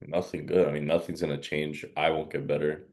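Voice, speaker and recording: A man's voice: extremely low pitch, little pitch movement, brisk, creaky, a little mellow. A young man on a sales call answering hesitantly, filling his speech with "like.". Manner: hesitant, heavy use of "like". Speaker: sales prospect. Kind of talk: casual phone conversation.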